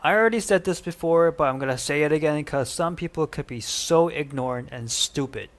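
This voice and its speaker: A man rants bluntly and with clear indignation in a social media clip. His voice sits a little high for a man, and he pushes hard on the key words. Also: brisk.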